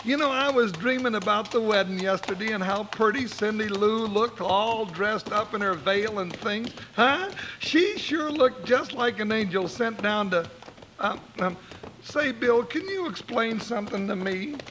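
A man's voice: measured pace, poor audio. A man playing a folksy, blustering, loyal cowboy sidekick with an American accent in an old Western radio drama. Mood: folksy, puzzled. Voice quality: high-pitched, bright. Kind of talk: 1950s Western radio acting. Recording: old radio recording with faint noise.

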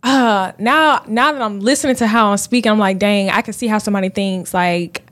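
A woman's voice: medium pitch, fairly bright tone. A young woman with a Southern accent, co-hosting a podcast in playful, casual chat. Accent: Southern American. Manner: playful, laughs easily, quick reactions. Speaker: podcast co-host.

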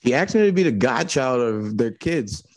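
A man from Newark co-hosting a comedy podcast, animated and laughing often. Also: medium pitch, normal speed. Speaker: podcast co-host. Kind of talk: casual comedic chat.

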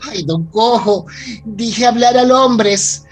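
A woman's voice: fairly high pitch, slow pace. A woman playing a meddling, chattering household cook in a radio soap opera. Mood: meddling, flustered.

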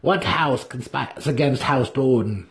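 A man voicing a hostile female dark elf priestess with a sharp manner, in a fantasy storybook reading. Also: medium pitch, a little slow, voice pushed up, lightly acted. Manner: sharp, snarling.

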